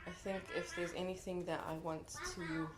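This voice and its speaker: A woman's voice: fairly low pitch, little pitch movement, a loud crowd. A young woman from New Zealand with a New Zealand accent, giving a reflective, earnest speech at a book launch. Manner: reflective and earnest, with frequent pauses. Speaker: contributor speaking at a book launch. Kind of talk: heartfelt speech to an audience. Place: event room at a book launch.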